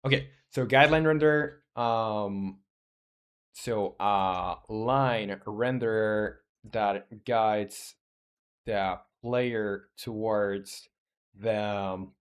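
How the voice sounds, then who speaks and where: A man's voice: fairly low pitch, very slow, smooth. A man in his twenties chatting casually on a live stream as a game developer, rambling and thinking aloud with many fillers while answering chat questions. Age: 20s.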